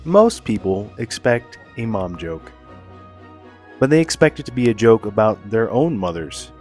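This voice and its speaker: A man with a cold that makes his voice deeper reads out a spoof research piece in a flat, mock-serious way. Soft music runs faintly beneath his voice. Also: measured pace. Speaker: narrator of his own theory video. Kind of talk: deadpan mock-research narration.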